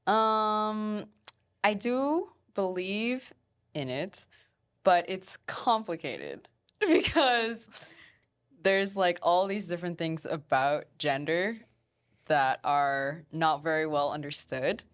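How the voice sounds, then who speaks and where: A woman's voice: on the low side, slow pace, clear, resonant tone. A young adult woman speaking as a guest in a casual, conversational debate.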